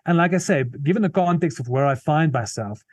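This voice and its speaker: A man's voice: fairly high pitch, animated, fairly quick, darkish tone, no room sound. A South African man with an Afrikaans accent, earnestly explaining his views in an interview, with frequent restarts. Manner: earnest, thoughtful, many restarts and fillers. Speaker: comedian and musician guest. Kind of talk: interview conversation.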